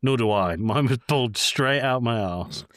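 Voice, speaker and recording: A man's voice: medium pitch, moderate pace, fairly bright tone, no room sound, studio quality. A man co-hosting a podcast, talking casually about film in a blunt, wry way with some swearing. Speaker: podcast host.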